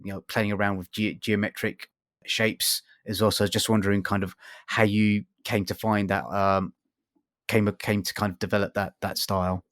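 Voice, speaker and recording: Guy hosting a podcast, kinda low voice, dry close sound, lots of "kind of". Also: level tone, normal speed, a bit bright, no room sound. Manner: rambling questions with many fillers. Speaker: podcast host. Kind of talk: casual interview.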